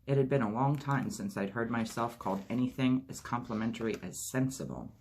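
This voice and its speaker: A man reading a memoir aloud as a storytime host, steady narration with lightly acted dialogue. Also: medium pitch, a little fast, a little hiss or hum. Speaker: audiobook reader.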